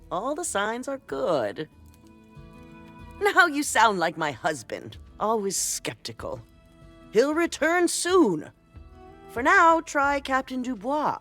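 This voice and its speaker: An actress playing a serene, stubbornly hopeful constable and former soldier in a video game, speaking with measured assurance. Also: female voice, medium pitch, fairly bright tone, heavily acted. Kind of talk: video game character acting.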